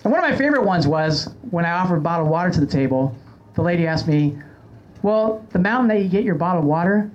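A man's voice: high voice, lively intonation, quick pace. A man hosting a podcast with casual comedic chat and anecdotes in a joking, self-mocking manner. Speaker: podcast host. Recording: slightly dull, lo-fi sound.

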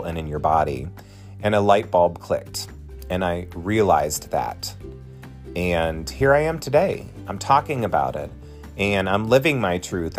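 40s guy hosting his podcast, kinda low voice, gushy and upbeat, talks right to the listeners, faint guitar in the back. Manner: chatty, effusive and encouraging, addresses listeners directly. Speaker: podcast host and drag performer. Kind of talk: casual podcast monologue.

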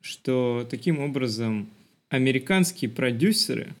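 A man's voice: mid-range voice, expressive, measured pace. A man co-hosting a podcast, discussing film in a thoughtful, explanatory conversational way. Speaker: podcast co-host.

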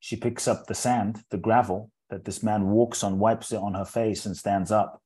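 Earnest low-voiced man telling his story. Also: steady tone, warm tone, slightly rough. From England. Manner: earnest and measured, repeating words as he builds a thought. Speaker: inspirational speaker and interview guest. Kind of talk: reflective personal storytelling in an interview.

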